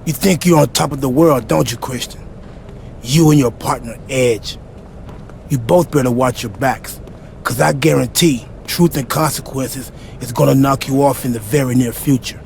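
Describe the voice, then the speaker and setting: A man playing a boastful, menacing rival wrestler in a video game, drawing out threats like a preacher in a gravelly voice. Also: mid-range voice, moderate pace, darkish tone, a little hiss or hum, close to an everyday voice. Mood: threatening.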